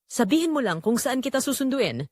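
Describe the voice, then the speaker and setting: A woman's voice: high-pitched, sing-song, brisk, a little mellow, dry recording, studio quality, pitched above the performer's own voice. A woman playing a reserved, polite and hesitant hardworking man in an audio drama.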